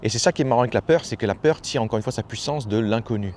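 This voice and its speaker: A man's voice: medium pitch, racing, a little mellow, a little hiss or hum. A man giving a casual motivational talk as a personal development coach, familiar and slangy, hammering points home by repeating phrases.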